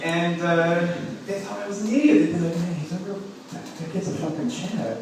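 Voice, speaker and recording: A man's voice: sits high, a little fast. A young man doing a stand-up comedy set in a club, rambling and self-mocking, full of filler words and swearing. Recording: echoey room recorded from a distance with noise.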